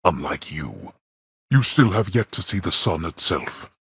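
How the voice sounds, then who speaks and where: A man voicing a stern, scornful god of the dead and imperious father in a video game, with a booming, gravelly voice and formal, archaic phrasing. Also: big pitch swings, measured pace, fairly bright tone, dry recording, clean studio sound, heavily acted. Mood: grave, weary disdain. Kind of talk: video game character voice acting.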